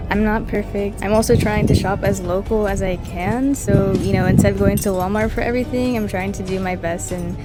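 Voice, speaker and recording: A young woman from Puerto Rico talks to the camera about her own life in a loose, chatty way. She fills her sentences with "like" and "kinda," and her voice sits at a middle pitch. Music plays clearly underneath her. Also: steady tone, a little fast, few pauses. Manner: chatty, frequent "like" and "kinda" fillers. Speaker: vlogger. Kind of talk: casual personal storytelling to camera. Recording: music playing in the background.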